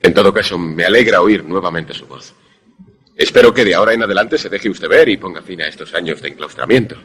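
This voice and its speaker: An actor in a suspense radio drama playing a courteous former pupil, speaking in a formal, literary manner. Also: male voice, low-pitched, animated, quick pace, a bit bright. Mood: courteous, concerned. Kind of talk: radio drama narration and dialogue.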